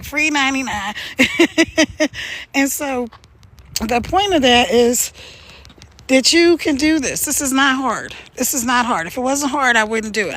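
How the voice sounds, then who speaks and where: Gravelly, high-voiced woman in her sixties. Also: fairly bright tone. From United States. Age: 60s. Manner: upbeat and pushy, coaxing viewers. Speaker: online marketing coach. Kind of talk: casual livestream walking outdoors. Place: outdoors on a mountain road at night.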